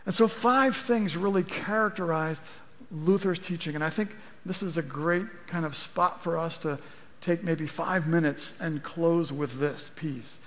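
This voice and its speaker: Middle-aged pastor with a wry, chatty delivery. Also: male voice, fairly high pitch, measured pace, a bit bright. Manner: conversational preaching with wry asides, gesturing at a lectern. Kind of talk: sermon. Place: large church auditorium stage. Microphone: headset mic. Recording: long hall reverb.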